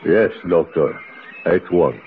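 An actor playing a grave, deferential native camp foreman in a radio drama, speaking in a measured, formal way. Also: sits low, lively intonation, heavily acted. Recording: dull, noisy old radio recording.

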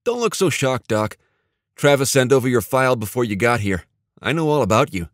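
An excitable young-sounding gadget genius, acted by a male narrator for an audiobook. He is showy, playful and cocky, pitched well up, and speaks with eager, theatrical flair. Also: a little fast, dry recording, clean studio sound, natural voice. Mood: cocky, welcoming.

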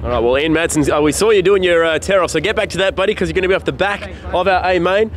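A young man reports from the pits on a handheld mic. He speaks quickly in a fairly high voice, with clear engine noise around him. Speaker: pit reporter interviewing drivers. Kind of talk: live trackside interview. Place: outdoor speedway pit area at night. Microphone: handheld microphone.

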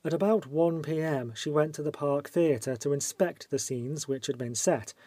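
A man's voice: a little high, moderate pace, dark tone, no room sound. A man reading scripted narration as an audiobook reader.

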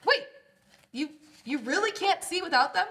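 A woman's voice: very high voice, lively intonation, normal speed, fairly bright tone. A young woman reading the part of a goofy, blunt, teasing sister in a comedy script, with playful exaggeration. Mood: goofy, mocking. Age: young adult. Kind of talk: comedy table read.

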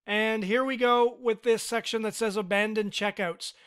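A man giving a relaxed, step-by-step screen-recorded software tutorial with casual fillers. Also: high-pitched, normal speed, smooth. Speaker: tutorial instructor.

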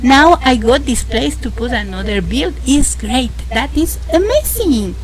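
A young woman with a Mexican accent, a host narrating a casual game tutorial, halting and repeating words as she explains. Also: sits high, big pitch swings, low-quality recording. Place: home, indoors.

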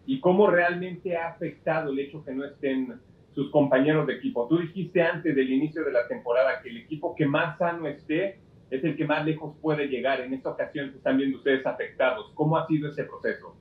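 A man's voice: fairly high pitch, fast. A male reporter asking a long multi-part question at a press conference. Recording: tinny echoey phone-like call.

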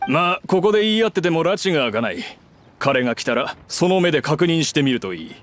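An actor playing a gruff, confident middle-aged working man in an anime game, casual and plain-spoken. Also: a little high, sing-song, brisk, slight background noise. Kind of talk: Japanese anime game voice acting. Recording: faint music behind the voice.

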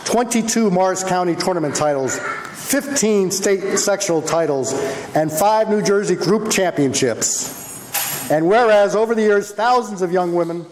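A man in his sixties, a mayor, reading a proclamation at a council meeting, a bit halting and correcting himself, picked up by a distant camera. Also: sits high, slight background noise. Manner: halting, self-correcting, chuckles at his own slips. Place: large formal council chamber, indoors. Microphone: handheld mic, then unamplified, camera across the room. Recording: echoey hall, distant camera.

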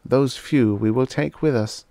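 A man voicing a solemn alien mind that speaks telepathically through a little girl, in formal, measured announcements for a science fiction audiobook. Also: medium pitch, gravelly, dark tone, no room sound, voice pushed up. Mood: urgent, grave.

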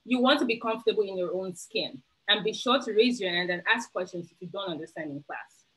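A woman's voice: mid-range voice, brisk, a bit bright, poor audio. A young Nigerian woman with a Nigerian accent, a webinar panelist giving earnest, encouraging advice over a video call.

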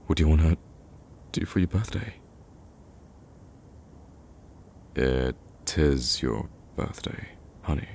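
A man playing a betrayed, protective young male friend in an emotional audio roleplay, speaking haltingly with sighs and stammers. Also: extremely low pitch, expressive, gravelly, a little mellow, slight background noise, full character voice. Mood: confused, gentle. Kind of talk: emotional ASMR roleplay acting.

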